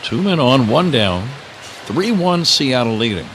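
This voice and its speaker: An older man works as a baseball play-by-play announcer on live radio. His intonation swings widely between calls and conversational asides. There is clear ambient noise behind him in the booth. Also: medium pitch, very animated, unhurried, a bit bright, low-quality recording. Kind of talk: live radio sports commentary with casual banter. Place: stadium broadcast booth.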